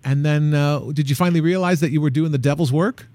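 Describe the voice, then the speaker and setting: Upbeat male radio host, slightly high voice. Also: steady tone, fast, mellow, no room sound. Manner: upbeat, joking, stumbles into questions. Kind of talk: radio show hosting.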